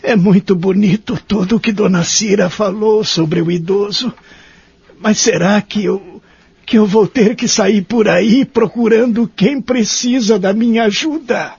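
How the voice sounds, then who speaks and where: A man's voice: high voice, a little slow, darkish tone, breathy edge, dry recording, full character voice. An actor playing a lonely, remorseful old man who narrates his life in a care home in an audio soap opera. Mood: worried. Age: older. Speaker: narrator and lead character of audio drama. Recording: dull lo-fi audio with music behind.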